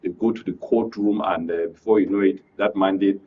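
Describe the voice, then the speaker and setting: A man with a Nigerian accent giving halting, unscripted political commentary as a panel guest. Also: low-pitched, steady tone, recorded from a distance. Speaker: studio guest, public affairs commentator. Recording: dull, off-mic audio with background noise.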